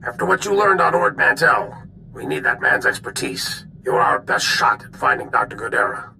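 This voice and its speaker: An actor playing a brisk Republic military commander in a video game, giving clipped, authoritative briefings. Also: male voice, medium pitch, animated, vocal fry, pushed and bright, a little hiss or hum, heavily acted, low-quality recording. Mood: businesslike urgency.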